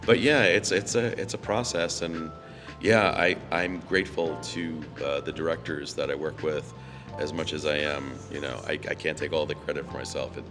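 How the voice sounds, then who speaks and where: A middle-aged male voice actor with a slightly hoarse, froggy voice, rambling in a relaxed, self-deprecating way at a convention Q&A. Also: a bass voice, moderate pace, music in the background. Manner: rambling and self-deprecating, with frequent restarts and fillers. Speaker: voice actor guest at a fan convention.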